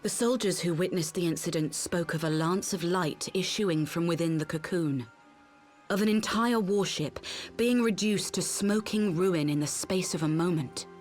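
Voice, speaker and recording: An actress playing a grave, composed military officer in a fantasy game, speaking in a solemn, measured, formal way. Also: female voice, fairly low pitch, faint music behind, close to an everyday voice.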